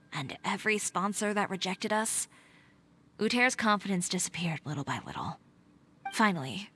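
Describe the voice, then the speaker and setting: A woman as a calm fashion designer. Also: medium pitch, close to an everyday voice. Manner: calm, measured, reflective. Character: composed female fashion designer, adult. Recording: game audio with faint music.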